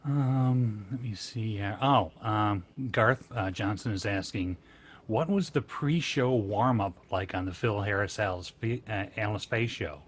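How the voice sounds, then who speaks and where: A man's voice: fairly low pitch, measured pace. An American man moderating a panel, casually chatting and reading out audience questions.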